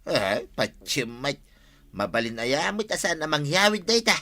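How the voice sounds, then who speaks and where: A man's voice: fairly high pitch, very animated, normal speed, pushed and bright, exaggerated voice. A man with an Ilocano accent playing a teasing village friend in an audio drama. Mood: curious.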